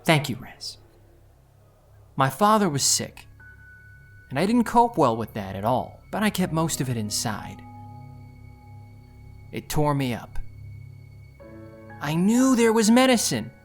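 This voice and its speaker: Actor doing young self-doubting thief narrating their story, earnest and dramatic, really animated delivery, soft music under it, audio drama. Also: mid-range voice, slow pace, long pauses. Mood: pained, subdued.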